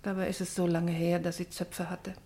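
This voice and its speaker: Actress playing a grieving, low-voiced mother. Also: level tone, moderate pace, warm tone, lightly acted. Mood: bitter grief. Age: middle-aged. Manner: anguished, brooding, partly whispered. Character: grieving, bitter pastor's wife, mother of missing daughter. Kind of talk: German radio drama acting.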